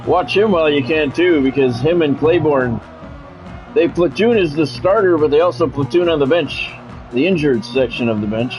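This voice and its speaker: Higher-voiced man calling football, music behind. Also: expressive, moderate pace, a little mellow, poor audio. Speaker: game streamer calling sports play-by-play. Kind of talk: football play-by-play mixed with casual stream chat.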